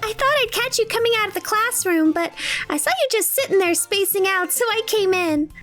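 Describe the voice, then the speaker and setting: A cheerful, airheaded anime schoolgirl with a tiny, extremely high voice. She is girlish and bubbly, pleading and teasing like a caring childhood friend. Faint music plays behind her. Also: expressive, fairly quick, fairly bright tone. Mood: playful. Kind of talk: anime-style visual novel fan dub.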